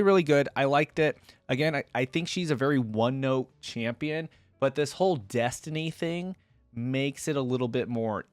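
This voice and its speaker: A man's voice: mid-range voice, no echo. A man giving informal, rambling reaction commentary as a video host.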